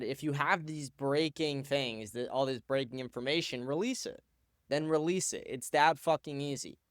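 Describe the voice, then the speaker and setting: A man hosting a stream, blunt and profane as he rants at his subjects. His voice comes across smooth and resonant. The sound is dry and close, with no room around it. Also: medium pitch, no room sound. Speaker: show host. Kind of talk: live-stream commentary.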